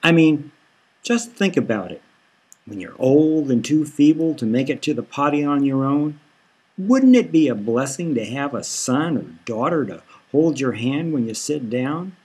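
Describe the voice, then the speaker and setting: Slick male salesman for a satirical audiobook, acted by a male reader: husky, jargon-heavy, smoothly persuasive patter. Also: lively intonation, measured pace, a little mellow. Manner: salesy, jargon-filled pitch.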